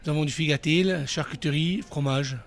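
A man's voice: fairly high pitch, moderate pace. A man running a restaurant, giving short, hesitant answers in an interview. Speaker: restaurant owner.

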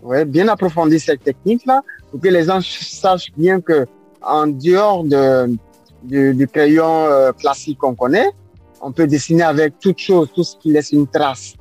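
An Ivorian-accented man in his forties talks in a slightly high voice. He answers hesitantly, filling pauses and repeating himself, while soft music plays faintly in the background. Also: no echo. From Ivory Coast. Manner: hesitant, many fillers and repeated words. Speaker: guest artist. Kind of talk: radio interview answers.

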